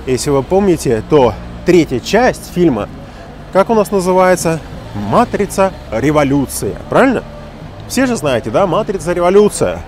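A man's voice: a little high, normal speed, slight background noise. A man in his forties, an interpreter and English teacher, talking casually while walking outdoors at night. He rants in an emphatic, mocking way, recorded on a phone held at arm's length. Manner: animated, emphatic, mocking rant. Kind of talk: casual walking vlog commentary. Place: city street at night, outdoors. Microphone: phone at arm's length with wireless earbuds.

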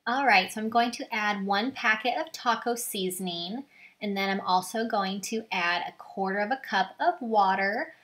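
A woman's voice: mid-range voice, normal speed, fairly bright tone. A young woman explaining a recipe step by step in an upbeat, plain way, filmed in her home kitchen on a camera set some distance away. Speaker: home cooking vlogger. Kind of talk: step-by-step cooking demo. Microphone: far camera. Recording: echoey kitchen, faint hum.

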